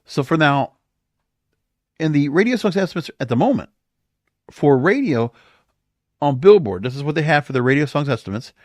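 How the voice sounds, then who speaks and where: Male podcast host, solo commentary. Higher-pitched, very animated delivery, emphatic and conversational, recorded dry. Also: normal speed, pauses often, dry recording.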